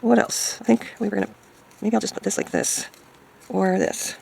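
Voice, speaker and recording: A woman chatting casually as she crafts, thinking aloud hesitantly in a hoarse, gravelly voice. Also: medium pitch, steady tone, normal speed, darkish tone, a little hiss or hum. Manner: hesitant, musing to self. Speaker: craft video maker.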